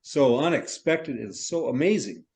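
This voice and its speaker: A man's voice: medium pitch, lively intonation, unhurried, darkish tone. A man playing an effusive, wordy middle-aged novelist from New York in a theatrical stage reading. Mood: reflective, enthusiastic. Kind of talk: stage reader's theater acting.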